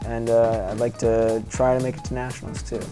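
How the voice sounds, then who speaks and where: A man's voice: medium pitch, little pitch movement, brisk, music in the background. A young man from Idaho, a college swimmer, answering interview questions casually. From Idaho, United States. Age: college freshman, about 18.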